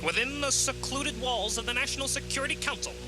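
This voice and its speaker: A man's voice: very high voice, quick pace, loud music behind the voice. A man playing a dramatic narrator in a mock radio adventure serial, declamatory and full of suspense. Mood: suspenseful.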